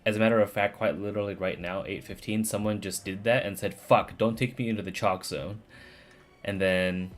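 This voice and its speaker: A man's voice: fairly low pitch, fairly even intonation, a little fast. A man chatting casually on a game stream in a slangy, rambling, profane way. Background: faint game music.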